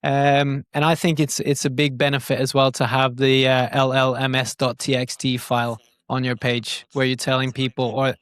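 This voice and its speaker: A man's voice: medium pitch, flat delivery, normal speed, hardly any pauses, fairly bright tone, clear, resonant tone, no echo. A man explaining things in casual interview talk, repeating words as he thinks them through. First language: Norwegian is not native; speaks it brokenly. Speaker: digital growth marketer, podcast guest.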